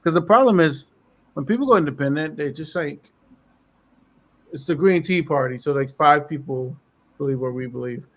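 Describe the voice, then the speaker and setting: A man in casual political chat on a podcast, losing his voice and clearing his throat as he talks in a rambling way. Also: a little high, moderate pace, long pauses. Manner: rambling, lots of fillers. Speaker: podcast co-host.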